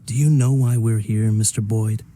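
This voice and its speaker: An actor playing a smooth-talking, self-satisfied crime businessman in a video game, urbane and wry with a courteous menace. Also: fairly low pitch, fairly even intonation, moderate pace, mellow, a little hiss or hum, natural voice. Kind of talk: naturalistic video game voice acting.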